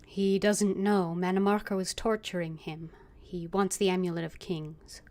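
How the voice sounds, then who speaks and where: Lower-pitched woman streaming a game. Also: level tone, moderate pace, warm tone, slight background noise.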